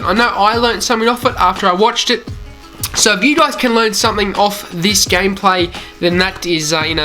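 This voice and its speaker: A man's voice: high-pitched, bright, forceful tone. A man from Australia with a mild Australian accent, hosting a gaming channel and chatting loosely over gameplay with lots of fillers. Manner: chatty and rambling, with many "you know" fillers. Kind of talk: casual commentary over gameplay.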